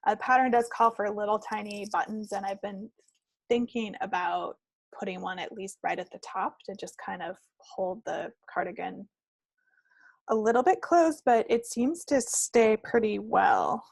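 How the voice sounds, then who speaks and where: A woman's voice: medium pitch, moderate pace, creaky, no echo, poor audio. A woman co-hosting a knitting podcast, chatting in a casual, rambling, unscripted way. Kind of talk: casual, unscripted craft chat.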